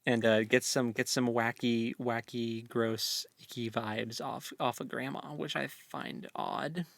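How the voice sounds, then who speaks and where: A man's voice: mid-range voice, fairly even intonation, takes it slowly, gravelly, a bit bright, dry recording. A man co-hosting a book discussion in a casual, meandering way, repeating words as he goes. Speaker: co-host of a book discussion show.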